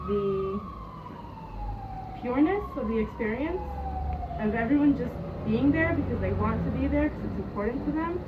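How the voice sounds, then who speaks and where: A young woman who grew up in Jerusalem, telling her story in a hesitant, casual way with many ums and restarts. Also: a little high. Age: young adult. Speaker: interviewee, youth program staff member. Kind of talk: casual spoken recollection. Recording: distant mic, muffled, noisy.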